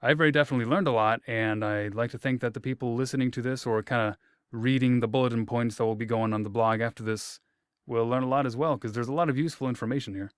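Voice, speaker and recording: A man's voice: medium pitch, fairly even intonation, quick pace, clear, resonant tone, no room sound, clean studio sound. A man hosting a casual interview, thoughtful and wordy, often repeating himself and making light jokes at his own expense. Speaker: interviewer, host.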